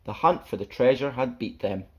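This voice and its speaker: Male storyteller for a children's picture book: animated rhyming read-aloud, playful, theatrical, mid-pitched. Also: moderate pace, dull audio. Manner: playful, theatrical, sing-song rhythm.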